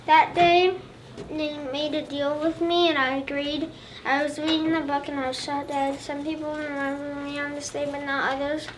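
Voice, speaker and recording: Kid reading out a school report, high voice, far off, noisy room. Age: child, about 8 or 9. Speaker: third grade student presenter. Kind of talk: recited school presentation as a historical figure. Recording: distant mic, loud room noise.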